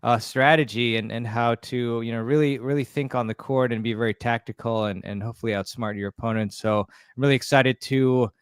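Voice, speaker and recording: A man's voice: medium pitch, steady tone, fairly quick, hardly any pauses, clean tone, dry recording, clean studio sound. A man hosting an online interview, upbeat and friendly, with lots of fillers. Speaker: podcast host.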